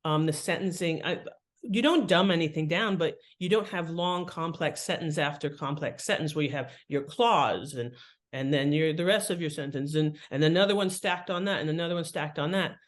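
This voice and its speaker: A woman guest explaining her craft in conversational interview talk, with an animated manner. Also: sits low, moderate pace. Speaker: guest, fiction writing author.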